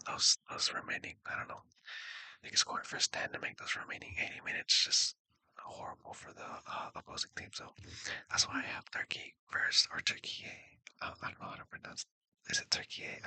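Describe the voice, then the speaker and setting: A man speaking in a breathy whisper, giving casual, rambling sports predictions and often correcting himself. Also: pushed and bright, no echo. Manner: hesitant, rambling, self-correcting. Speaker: sports video creator.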